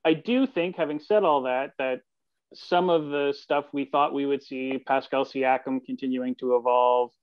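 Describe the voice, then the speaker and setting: A man's voice: medium pitch, steady tone, normal speed, no echo. A man in his forties giving conversational sports analysis as a guest over a video call. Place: room at home, video call. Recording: slightly dull video call audio.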